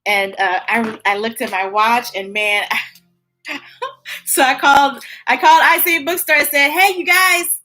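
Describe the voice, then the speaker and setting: A woman's voice: sits high, steady tone, measured pace, sharp tone, soft background music. An American woman chatting casually and playfully to young viewers as a children's story time host, stretching words for emphasis.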